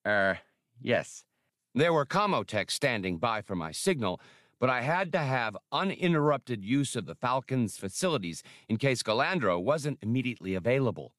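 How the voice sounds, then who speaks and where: A man's voice: medium pitch, sing-song, normal speed, a bit bright, dry recording, studio quality. A man voicing a fussy, whiskered alien bureaucrat in an audiobook, with a lisp and formal, precise, wordy speech. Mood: chagrined, apologetic. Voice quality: lisping.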